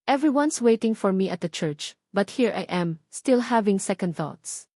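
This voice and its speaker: Woman acting anxious Filipino young mother and bride, heartfelt, earnest, middle pitch, dry audiobook sound. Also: animated, a little slow, warm tone, no room sound. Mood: wistful.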